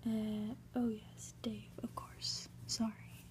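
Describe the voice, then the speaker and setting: A woman's voice: fairly high pitch, level tone, slow pace, darkish tone. Woman whispering as a befuddled posh male office boss. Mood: flustered. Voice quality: breathy whisper. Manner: fussy, muddled, old-fashioned polite.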